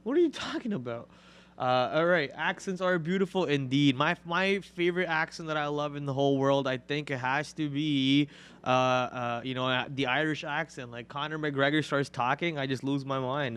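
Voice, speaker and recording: A man's voice: on the high side, fairly bright tone, clear, resonant tone. Chatty male trading-show host. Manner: chatty, joking, fast trading jargon. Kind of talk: casual live trading commentary.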